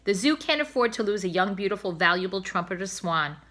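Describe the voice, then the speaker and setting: A woman's voice: mid-range voice, lively intonation, fairly quick, fairly bright tone, lightly acted. A woman voicing a kindly but firm male zoo bird keeper in a storybook, speaking emphatically and persuasively. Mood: firm, insistent.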